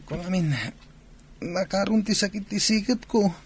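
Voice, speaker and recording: A man's voice: high-pitched, normal speed, natural voice. An actor playing a frail, sickly young man in a radio drama, speaking wearily and plaintively. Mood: pleading. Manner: plaintive, weary. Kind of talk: Filipino radio drama acting. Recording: noisy background ambience.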